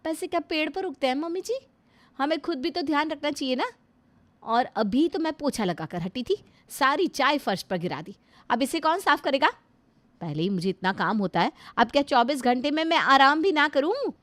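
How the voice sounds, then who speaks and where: A woman voicing a rude, sharp-tongued young daughter-in-law who scolds her mother-in-law. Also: fairly high pitch, fairly quick, dry recording, close to an everyday voice. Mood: scolding. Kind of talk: Hindi family drama acting.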